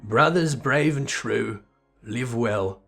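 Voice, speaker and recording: Gruff fantasy game barkeep, played by a man: wry, teasing, colloquial storyteller, music underneath. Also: medium pitch, takes it slowly, fairly bright tone. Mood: wry storytelling. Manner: colloquial, teasing, rough-spoken. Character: gruff, wry male tavern keeper telling old tales. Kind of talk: character voice reading video game dialogue.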